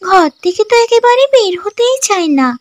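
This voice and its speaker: A woman's voice: extremely high pitch, normal speed. A woman playing a mocking, snide young village gossip in exaggerated cartoon dialogue.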